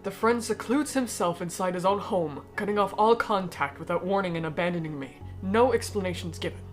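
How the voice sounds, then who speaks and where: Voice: mid-range voice, soft background music, lightly acted. A performer in a fan dub voicing a cold, aristocratic alien noble who speaks formally and eloquently, bitter yet persuasive. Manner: formal, eloquent, measured speech. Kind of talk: fan-dub voice acting of comic dialogue.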